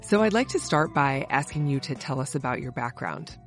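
A woman hosting a podcast, reading a scripted guest introduction and asking clear, measured questions. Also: a bass voice, lively intonation, soft background music. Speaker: podcast host.

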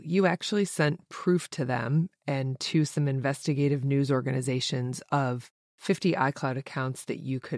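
A podcast host who reads a prepared intro and asks the guest measured, scripted questions. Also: mid-range voice, no room sound, studio quality.

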